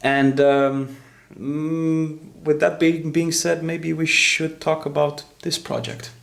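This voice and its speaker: A man hosting and explaining music production in casual talk, halting as he searches for words. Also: on the high side, flat delivery, vocal fry, a bit bright.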